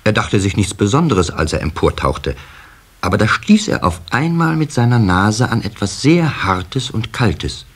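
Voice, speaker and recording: Very deep male storybook narrator. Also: a little slow, husky. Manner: expressive storyteller. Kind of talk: scripted storybook narration for children.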